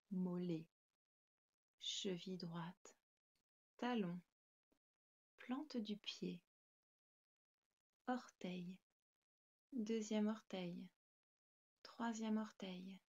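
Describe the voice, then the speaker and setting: A woman guiding a yoga nidra meditation in a calm, gentle, soothing manner with spaced instructions. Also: medium pitch, dull audio.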